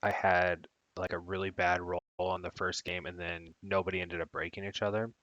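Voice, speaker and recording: A man with a very low voice talks over a voice call, and the sound is dull, dry and lo-fi. He is easygoing and chatty as he swaps stories about earlier matches. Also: level tone, moderate pace, a bit bright, no room sound. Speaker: guest player on a call. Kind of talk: casual gaming chat.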